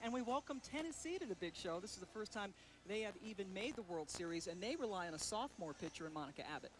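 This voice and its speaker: A man calling a college softball game as play-by-play announcer, with a brisk, upbeat, stat-heavy broadcaster delivery. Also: sits high, animated. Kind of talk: live sports commentary. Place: broadcast booth at a stadium. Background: crowd noise.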